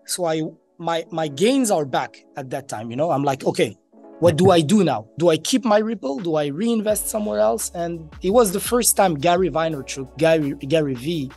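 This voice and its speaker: A man's voice: a little high, animated, moderate pace, darkish tone, faint music behind. A man from Morocco, speaking as an interview guest, casually telling stories and acting out bits of dialogue. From Marrakesh, Morocco. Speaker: interview guest, crypto entrepreneur. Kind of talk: casual conversational storytelling.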